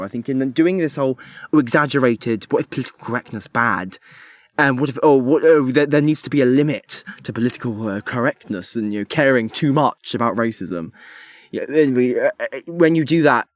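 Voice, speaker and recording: A man's voice: medium pitch, dry recording. A man podcast host giving a casual but argumentative, analytical critique.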